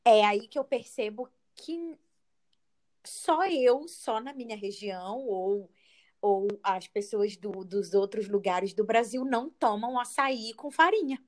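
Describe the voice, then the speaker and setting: A woman's voice: on the high side, slow pace. A woman from Belém, a podcast co-host who reflects aloud and explains ideas with examples. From Belém, Brazil. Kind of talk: casual podcast conversation.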